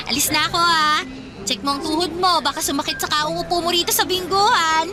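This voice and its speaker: A woman's voice: extremely high pitch, normal speed. A woman voicing a restless, teasing teenage girl cousin in a radio drama. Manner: teasing, playful. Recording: music bed behind. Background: music.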